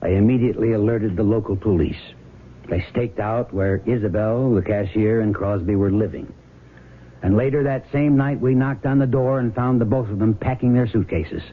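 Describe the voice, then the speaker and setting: Actor as low-voiced probing police sheriff. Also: male voice, natural voice. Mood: firm. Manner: measured, probing questioning. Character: steady, probing small-town police sheriff, male. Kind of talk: 1970s radio drama acting. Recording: tinny band-limited old radio broadcast, faint music.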